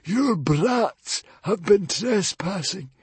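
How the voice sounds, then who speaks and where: A man's voice: high-pitched, lively intonation, slow pace, husky, no echo, pitched above the performer's own voice, heavily acted. A man voicing a hissing, whiny male librarian villain in an audiobook reading. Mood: menacing, screeching.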